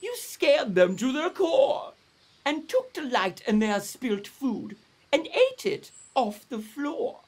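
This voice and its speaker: A man's voice: very high-pitched, very animated, slow pace, pitched above the performer's own voice, full character voice. A man voicing a kindly female flying fox who cheerfully explains things in a sing-song storybook voice. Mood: gently instructive.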